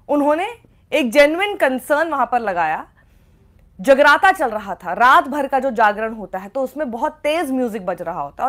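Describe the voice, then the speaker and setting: A woman's voice: sits high, fairly bright tone. A woman journalist delivering opinionated news commentary in a scathing, sarcastic way, often with rhetorical questions. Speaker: journalist presenting a report.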